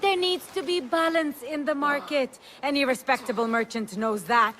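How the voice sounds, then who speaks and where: An actress playing a determined, witty young merchant's daughter in a video game, with a brisk, wry delivery. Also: female voice, high-pitched, a bit bright. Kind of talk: video game cutscene acting.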